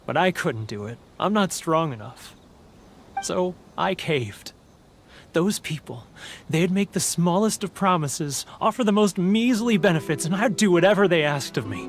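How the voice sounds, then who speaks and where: A man's voice: sits high, expressive, a little slow. An actor playing a homesick, guilt-ridden male merchant in a game, mournful and prone to stammering. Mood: gloomy. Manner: mournful, stammering, self-pitying. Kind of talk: video game cutscene dialogue.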